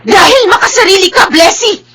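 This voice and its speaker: An actress playing an outraged, bitter woman in a radio drama, shouting rapid, tearful accusations. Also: extremely high pitch, a little hiss or hum, full character voice. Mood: furious, accusing.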